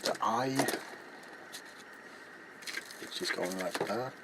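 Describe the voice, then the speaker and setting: A middle-aged man with a lowish voice talks very slowly and softly, as an ASMR crafting host. He is hushed and often drops into a whisper. The recording has very loud background noise. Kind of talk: soft-spoken ASMR craft narration. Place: small cluttered indoor workshop.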